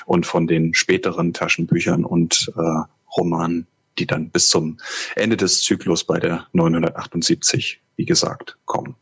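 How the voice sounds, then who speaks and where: A man co-hosting a podcast in casual chat, explaining things haltingly with a husky voice. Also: low-pitched, flat delivery, a bit bright. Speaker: podcast co-host, audio drama writer. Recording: slightly dull podcast audio.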